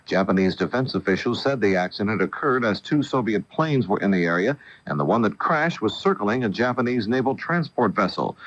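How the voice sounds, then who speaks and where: A man's voice: lowish voice, no room sound. An American man reading a scripted radio news bulletin in a formal, matter-of-fact manner. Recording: dull lo-fi 1980 radio broadcast.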